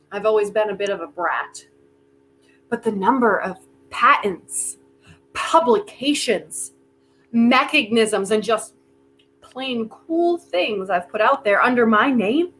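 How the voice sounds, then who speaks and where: A woman's voice: fairly high pitch, a bit bright, poor audio. A woman in a staged play reading, playing an arrogant, defiant inventor with a sardonic, theatrical manner. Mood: boastful, wry. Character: arrogant, brilliant, defiant inventor bargaining with Death.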